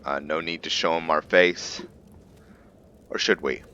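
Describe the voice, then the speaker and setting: A man with an extremely deep but bright, forceful voice talks through his video game playthrough in a casual, thinking-aloud way. The recording sounds dull and lo-fi. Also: steady tone, measured pace. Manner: thinking aloud, musing and self-correcting. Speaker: gamer narrating a roleplay playthrough. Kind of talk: casual let's-play commentary.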